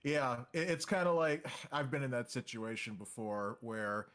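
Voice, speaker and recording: Man streamer, easygoing, mid-pitched, sympathetic listener. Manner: calm, sympathetic, measured storyteller. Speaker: stream co-host. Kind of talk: casual chat. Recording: faint music behind.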